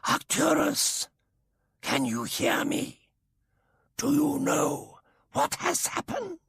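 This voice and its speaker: Cold alien overlord, thin high hiss, slow and deliberate, put on by a man. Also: huge pitch swings, gravelly, a bit bright, no room sound, clean studio sound, exaggerated voice. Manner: slow, hissing, high-pitched. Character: male alien lord, cold and hissing.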